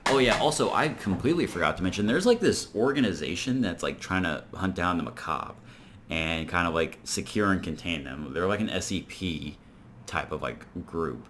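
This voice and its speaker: A man's voice: sits low, expressive, moderate pace, fairly bright tone, a little hiss or hum. A man hosting an informal video essay, recapping and commenting in a rambling, conversational way. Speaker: video reviewer and host.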